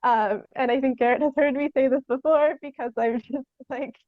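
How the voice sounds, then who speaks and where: A woman's voice: high-pitched, fairly even intonation, normal speed, no room sound, narrow, tinny audio. A young woman chatting casually as an interview guest, tentative and meandering, with "like" sprinkled all through her sentences. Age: young adult. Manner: tentative, meandering, heavy use of "like". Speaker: podcast guest, theatre artist.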